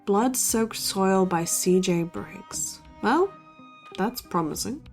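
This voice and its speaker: Dry, skeptical young college sleuth. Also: female voice, mid-range voice, expressive, takes it slowly, soft background music. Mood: sardonic. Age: young adult. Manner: dry, sardonic, analytical. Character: dry, skeptical young female college true-crime podcaster. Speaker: podcast co-host. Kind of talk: scripted banter in a horror audio drama.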